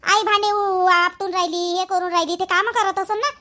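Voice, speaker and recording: A woman's voice: very high voice, fairly even intonation, a little fast, pushed and bright. A woman voicing an indignant young sister in a family cartoon, accusing and nagging in a Varhadi Marathi accent. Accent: Varhadi Marathi (Vidarbha). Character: indignant young sister scolding her brother. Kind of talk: animated family drama acting.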